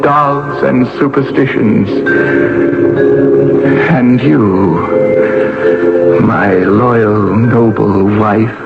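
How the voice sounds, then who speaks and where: An actor playing a wrathful, imperious pharaoh and grieving father, scornful and declamatory, in 1970s radio drama style. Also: medium pitch. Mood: cold condemnation. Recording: dull, noisy old radio broadcast.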